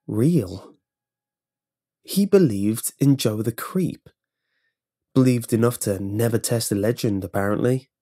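A man's voice: mid-range voice, dark tone. A man reading a scripted first-person horror story as its narrator.

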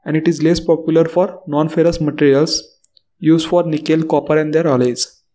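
A man narrating a scripted technical lesson as the instructor, in a steady reading delivery. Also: a little high, moderate pace.

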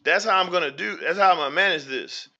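A man with a slightly hoarse, strikingly bright and tense voice walks his viewers through a screen-share tutorial. His somewhat high voice has a gravelly fry, and the recording sounds dull and lo-fi. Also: fast talker. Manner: talks straight to viewers, often asks if they hear him; drifts into preacher-like faith talk. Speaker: channel host. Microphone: voice-over to screen recording. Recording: dull lo-fi audio.

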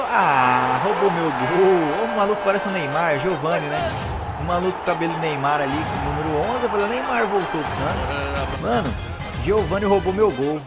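A young Brazilian man, hoarse from recording, giving excited, slangy commentary over his own football video game match and talking straight to his viewers. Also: high voice. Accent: Brazilian Portuguese. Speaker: gaming YouTuber. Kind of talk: live gameplay commentary.

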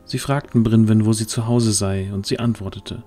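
Mellow low-voiced male storyteller. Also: steady tone, normal speed, faint music behind. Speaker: narrator. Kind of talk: scripted fantasy story narration.

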